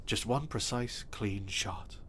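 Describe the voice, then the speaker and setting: A man playing an obsessive, possessive stalker in an audio roleplay, speaking softly and intimately with a threatening edge. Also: fairly low pitch, slow, a little hiss or hum. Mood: cold menace. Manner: soft, intimate and menacing, bursting into threats. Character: obsessive, possessive male stalker kidnapper, adult.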